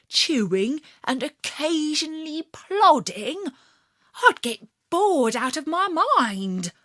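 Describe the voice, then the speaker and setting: A woman voicing a boastful, excitable hare in a children's story, exclaiming with scorn and heavy emphasis. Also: fairly high pitch, no echo, studio quality, heavily acted.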